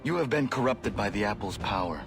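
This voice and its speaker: An actor playing an earnest, righteous young rebel warrior in a video game, speaking in solemn, defiant declarations. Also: male voice, lowish voice, lively intonation, brisk. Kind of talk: dramatic video game acting. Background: loud music.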